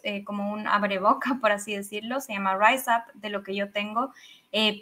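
Bright-voiced Ecuadorian woman on a call. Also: medium pitch. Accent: Ecuadorian Spanish. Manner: expressive, enthusiastic, many fillers and restarts. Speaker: podcast guest, business coach. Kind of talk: casual interview chat. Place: home office with a big microphone. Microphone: studio microphone on video call.

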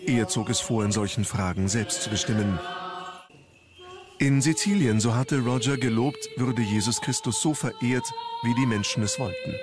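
A man's voice: mid-range voice, huge pitch swings, moderate pace. A man reading scripted narration for a documentary. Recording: music under the narration. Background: background music.

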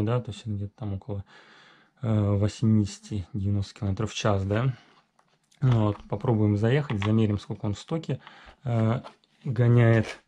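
A man casually walking through a new RC truck in a workshop, talking haltingly with many fillers and self-corrections. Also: lowish voice, mellow. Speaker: RC hobby reviewer. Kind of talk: casual unboxing walkthrough. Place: indoor garage workshop.

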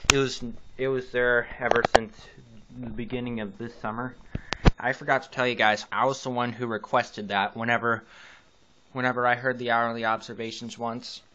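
A man giving casual, rambling running commentary as a radio hobbyist, often hesitating and correcting himself. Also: medium pitch. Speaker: amateur weather radio hobbyist and vlogger. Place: indoors in a room at home. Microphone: handheld camera mic, speaker behind the camera. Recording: muffled camera audio with background hiss.

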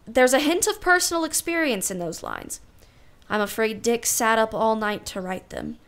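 A woman's voice: fairly high pitch, expressive, normal speed, a bit bright, clean tone. A woman playing a wry, genteel, romantically wavering aunt in a radio play, musing in an old-fashioned way.

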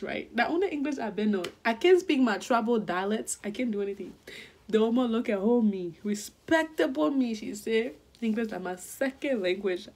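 A young woman talks straight to her camera from a small bedroom in a loose, chatty vlog. She is playful and keeps jumping up in pitch as she acts out stories. Now and then she drops to a whisper for her little secrets. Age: young adult. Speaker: vlogger. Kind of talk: casual chat to camera.

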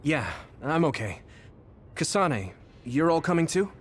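Earnest young male hero, lively pitch. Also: unhurried, slightly rough, exaggerated voice. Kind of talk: anime game voice acting. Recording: dramatic music behind voice.

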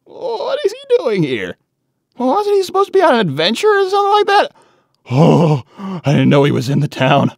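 A man's voice: sits high, wildly swooping pitch, moderate pace, creaky, no echo, clean studio sound, exaggerated voice. A man voicing a shy, nervous, lovestruck young hedgehog with a stammering, self-scolding manner in a comic dub. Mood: panicked. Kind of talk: animated comic dub acting. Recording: music bed behind voice.

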